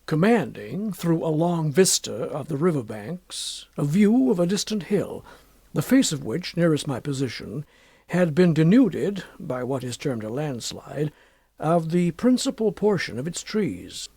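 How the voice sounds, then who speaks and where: A man narrating a classic horror story as an audiobook, in a formal, measured, dramatic literary style with deliberate phrasing. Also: a little high, sing-song, mellow, slightly rough, no echo.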